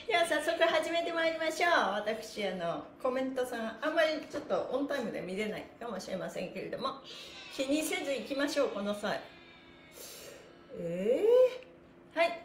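A middle-aged woman with a high, bright-spirited voice leads a stretching class. She is cheerful and encouraging, with a sing-song delivery. The room adds a clear echo around her words. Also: very animated, moderate pace, faint music behind. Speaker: stretching instructor and livestream host. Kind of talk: exercise class livestream, chatty instruction with counting chants. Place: small bare-walled indoor studio room. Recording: roomy echo, mic a little away.

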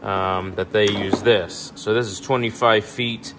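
A young man casually walking through his work trailer, talking in a relaxed, rambling way with lots of ums. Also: on the low side, unhurried, background noise. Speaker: pressure washing business owner. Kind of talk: casual walkthrough tour. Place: indoor metal trailer, shop garage. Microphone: handheld phone camera.

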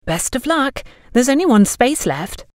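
A woman's voice: high-pitched, sing-song, measured pace, darkish tone, no echo, clean studio sound, voice pushed up. A woman voicing a friendly young shop girl in an audio story, confiding and helpful.

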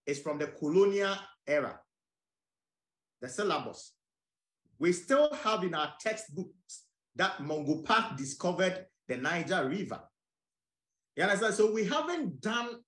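A man from Ghana talks on a podcast in a high, animated voice that swings widely in pitch. He argues insistently and doubles back on phrases for emphasis. The room around him rings with echo. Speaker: guest, farmer. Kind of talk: conversational podcast interview.

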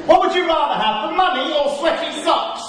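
A man's voice: extremely high pitch, brisk, fairly bright tone. A man playing a gullible, eager young pantomime sidekick with broad, theatrical comic delivery. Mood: cocky. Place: large theatre stage, indoors. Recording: echoey theatre hall, distant, faint noise.

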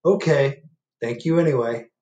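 A man voicing a polite, plodding bear in a picture book, with slow, courteous, deliberate speech. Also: medium pitch, mellow, pitched above the performer's own voice, lightly acted. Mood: polite, hopeful. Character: polite, plodding male bear searching for his hat.

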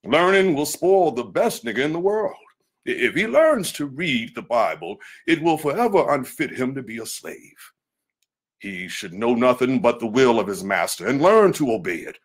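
A man's voice: mid-range voice, sing-song, normal speed. A man voicing a stern, domineering nineteenth-century slave owner in a memoir reading, emphatic and lecturing. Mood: angry, commanding.

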